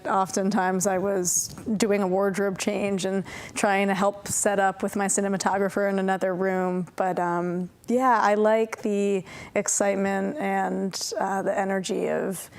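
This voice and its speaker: A woman's voice: medium pitch, flat delivery, measured pace, few pauses, smooth. A young woman, a filmmaker, answering interview questions in an eager, friendly way with easy laughs. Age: young adult. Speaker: filmmaker guest. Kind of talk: studio interview. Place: TV studio.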